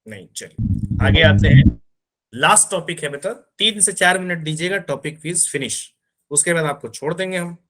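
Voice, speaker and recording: A man's voice: fairly high pitch, lively intonation, normal speed, a bit bright, poor audio. A man teaching physics in an online class, explaining step by step and often checking that his students understand.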